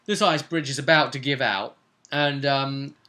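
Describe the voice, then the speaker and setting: A man's voice: mid-range voice, steady tone, unhurried, pushed and bright. A man, a game master casually recounting a tabletop role-playing session in a chatty storytelling way. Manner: chatty, conversational storytelling with tag questions.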